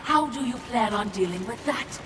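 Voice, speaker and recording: A woman's voice: a little high, expressive, normal speed, very noisy, natural voice. An actress voicing a sly, sarcastic female demonic spirit in a video game, servile on the surface but mocking and melodramatic. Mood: sly, sardonic. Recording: echoey, distant, loud music behind.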